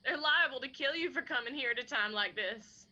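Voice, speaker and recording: Sad young appalachian mom in radio play, highish thin bright voice, resigned. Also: female voice, lively intonation, fairly quick, lo-fi sound, heavily acted. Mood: grieving, resigned. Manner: subdued, sorrowful.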